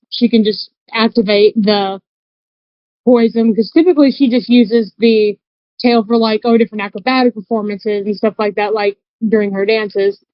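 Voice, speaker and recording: A woman's voice: a little high, no echo, highs cut off. A woman playing a tabletop game, casually describing what her character does.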